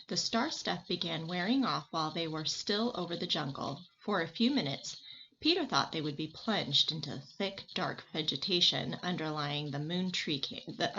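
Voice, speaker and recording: A woman with a slightly low voice reads a story aloud to children like a teacher. She stumbles and restarts now and then. The recording is muffled, with clear background noise. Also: sharp tone. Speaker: teacher reading aloud to pupils.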